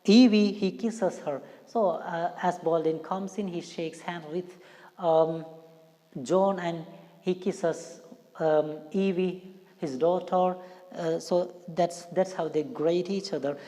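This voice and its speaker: A woman reading a play aloud as an English teacher, deliberately repeating and rephrasing lines in simpler words. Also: on the low side, steady tone, mellow. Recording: long hollow room reverb.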